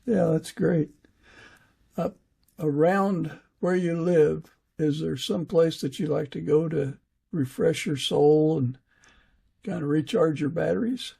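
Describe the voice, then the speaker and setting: A man's voice: fairly high pitch, slow pace, vocal fry, warm tone, slightly rough. A man hosting a podcast, introducing and interviewing a guest. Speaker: podcast host. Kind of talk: interview, warm-up introduction and questions.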